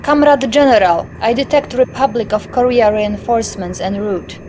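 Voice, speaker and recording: An actress playing a loyal young Soviet intelligence officer giving video game mission briefings in a crisp, confiding military manner. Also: female voice, fairly high pitch, moderate pace, darkish tone, a little hiss or hum, lightly acted. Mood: brisk, approving.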